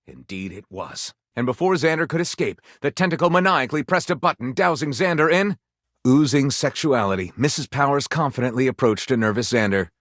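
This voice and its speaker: A man voices a grandiose storyteller from a video game, swooping dramatically through lurid, absurd melodrama. The delivery is highly animated and suggestive, with big swings in pitch, recorded close and very dry. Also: fairly quick, no echo, lightly acted. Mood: grandiose, dramatic. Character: theatrical, salacious storyteller narrating absurd melodrama. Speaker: game character voice actor. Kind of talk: dramatic scripted narration.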